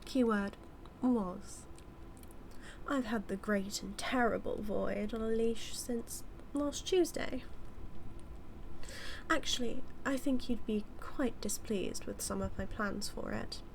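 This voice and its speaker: Woman playing a smug female supervillain, British accent, unhurried theatrical drawl, teasing, scheming, audio drama monologue, noticeable background noise. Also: a little high, creaky. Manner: theatrical, drawling, taunting, slipping into whispers. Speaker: audio roleplay performer. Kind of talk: scripted villain monologue roleplay, spoken to the listener.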